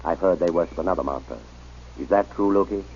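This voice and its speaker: An actor playing a determined missionary reverend, coaxing and deliberate, in an old-time radio drama. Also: male voice, sits low, a little mellow, a little hiss or hum, lightly acted. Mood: coaxing, probing. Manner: deliberate, coaxing, emphatic delivery. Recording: muffled, dull old radio recording.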